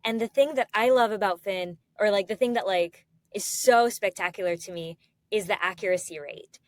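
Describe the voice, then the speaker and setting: A woman's voice: mid-range voice, moderate pace, dry recording, studio quality. A woman hosting an interview in a casual, conversational way, enthusiastic as she recaps and asks questions. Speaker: interview host.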